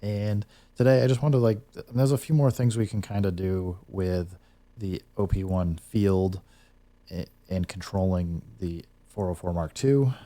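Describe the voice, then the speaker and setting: A man's voice: deep voice, a little slow, warm tone. A man hosting a casual, unscripted music gear tutorial, rambling a little as he thinks aloud while demonstrating.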